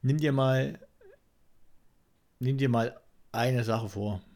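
A man co-hosting a podcast in casual banter, often haltingly repeating the openings of his phrases. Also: medium pitch, darkish tone. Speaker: podcast co-host.

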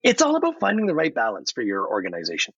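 Man playing a theatrical, animated AI chatbot, roomy sound. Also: medium pitch, creaky, breathy edge, full character voice. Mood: confident, upbeat. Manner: theatrical, playful, salesy delivery with dramatic pauses. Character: chatty, theatrical AI chatbot guest with pirate flair. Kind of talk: scripted podcast answers full of metaphors. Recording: slightly roomy, dull lo-fi audio.

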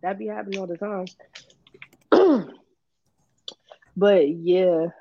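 A woman from New Jersey co-hosting a podcast, hoarse from a recent illness, talking in an easygoing, slangy way. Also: fairly low pitch, steady tone, slow pace, narrow, tinny audio, slight background noise. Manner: slangy, easygoing, laughs often. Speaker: podcast co-host. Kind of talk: casual chat.

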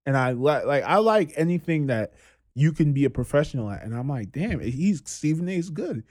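A man in his twenties hosting a podcast, telling stories in a casual, animated way. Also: on the high side, normal speed. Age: 20s. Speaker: podcast host.

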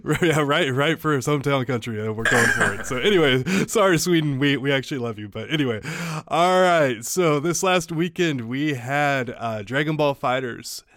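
A man hosts a podcast with loose, rambling enthusiasm, his mid-pitched voice landing dry and close. He leans on fillers and quick restarts as he chats. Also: fairly bright tone, dry recording. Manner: rambling, filler-heavy, upbeat. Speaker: podcast host. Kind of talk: casual podcast chat.

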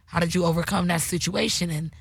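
Raspy-voiced woman host, casual chat. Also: fairly low pitch, little pitch movement, quick pace, no echo, studio quality. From California. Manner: loose, slangy storytelling with many restarts. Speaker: podcast host.

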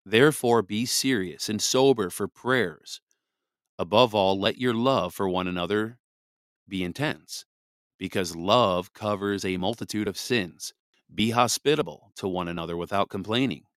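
A man from Michigan with a cold, hosting a podcast and reading scripture slowly after rambling chat full of fillers. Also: on the low side, fairly bright tone, no room sound, studio quality. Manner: rambling, many fillers, then slow deliberate reading. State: congested from a cold. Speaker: podcast host. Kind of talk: scripture reading with casual commentary. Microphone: close podcast mic.

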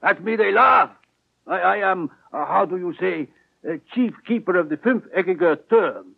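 An old radio recording with a narrow, tinny band carries an elderly German keeper. He is husky and gravelly, with a German accent. He pleads in halting, formal broken English, anxious and deferential. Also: sits high, lively intonation, full character voice. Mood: anxious, aggrieved. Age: older. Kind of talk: 1940s radio drama acting.

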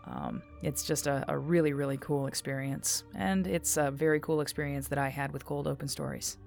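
A woman voice actor giving a casual, reflective personal testimonial in a halting way. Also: very deep voice, lively intonation, vocal fry, a little mellow, soft background music.

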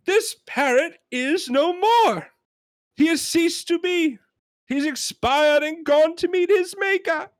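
A woman's voice: on the low side, sing-song, normal speed, no echo, pitched above the performer's own voice. A woman playing an indignant male customer who complains in emphatic, exasperated rants in a comedy sketch. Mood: exasperated ranting. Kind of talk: British sketch comedy reenactment.

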